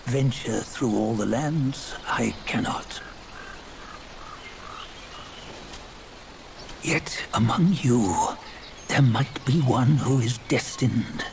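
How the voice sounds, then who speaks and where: An actor playing a weary old sage, telling a legend slowly and gravely in a fantasy game. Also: male voice, medium pitch, background noise. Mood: weary, hopeful. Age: older. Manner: slow, measured, grave. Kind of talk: solemn scripted storytelling in a fantasy game.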